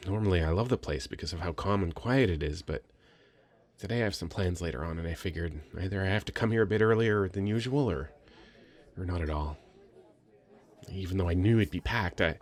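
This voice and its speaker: Man acting a shy, low-voiced stammering nerd. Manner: hesitant, stammering, soft-spoken, earnest. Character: very shy, sweet young nerdy male writer. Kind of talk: ASMR roleplay, addressing the listener.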